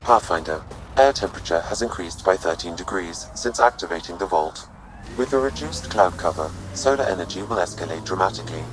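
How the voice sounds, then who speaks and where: An actor playing a calm, precise male artificial intelligence in a video game, formal and informative. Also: low-pitched, a little fast, poor audio. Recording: music behind the voice.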